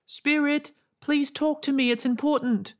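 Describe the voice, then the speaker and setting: A man's voice: extremely high pitch, steady tone, unhurried, voice pushed up. A man voicing a brave, curious little girl in a storybook, calling out with urgency. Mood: urgent.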